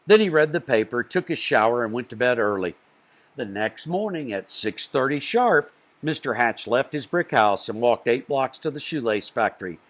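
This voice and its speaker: A man reads a children's picture book aloud. His mid-range voice moves through wide rises and falls, and he phrases each beat deliberately. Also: mid-range voice, expressive, fairly bright tone. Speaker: children's storyteller.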